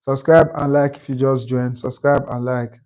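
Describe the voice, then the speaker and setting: A man in his twenties hosting a live stream, thanking viewers and repeating shout-outs to the chat. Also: medium pitch, level tone, moderate pace, clear, resonant tone. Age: 20s. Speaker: livestream host.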